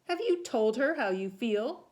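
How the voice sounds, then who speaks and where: A woman's voice: a little high, very animated, unhurried, a little mellow, voice pushed up. A woman voicing a concerned, reassuring mother in a storybook reading.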